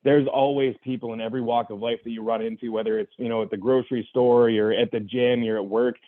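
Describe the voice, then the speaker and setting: Young adult man, guest. Earnest, quick, restart-heavy storyteller on a dry, tinny phone-like line. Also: mid-range voice, fairly even intonation, no room sound. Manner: earnest, reflective, full of restarts and "you know". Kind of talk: candid personal story in conversation.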